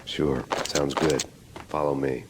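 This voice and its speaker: Very deep, brooding hard-boiled cop. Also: male voice, slow pace, background noise. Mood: terse. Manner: deadpan, terse. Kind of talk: noir scripted narration. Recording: loud music behind the voice.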